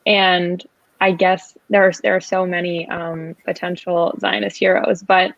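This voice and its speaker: A young woman from the US introduces herself on an online panel and pauses often to search for words. Her voice has a gravelly fry and stays flat in pitch. The sound is dry and slightly muffled. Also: no echo. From United States. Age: young adult. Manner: hesitant, with many "um" fillers. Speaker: student panelist.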